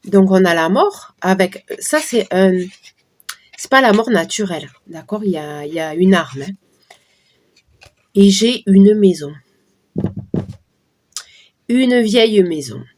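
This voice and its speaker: A middle-aged woman with a hoarse morning voice, chatting casually to her viewers as she reads tarot cards. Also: medium pitch, animated, takes it slowly, pauses often, a little mellow. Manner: chatty, talks to viewers while shuffling cards. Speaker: card reader. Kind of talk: casual card-reading livestream talk.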